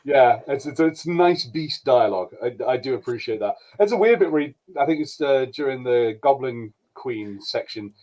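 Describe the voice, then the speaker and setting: A man's voice: medium pitch, lively intonation, normal speed, hardly any pauses, a little mellow. A man talking as a podcast co-host in a casual review chat, hesitant and colloquial as he circles back to correct himself.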